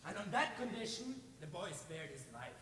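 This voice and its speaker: A young man from Norway narrating a folktale live on stage in a theatrical, expressive way. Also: high-pitched, normal speed. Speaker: storyteller. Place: stage in a large hall. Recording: echoey hall, distant mic, clear noise.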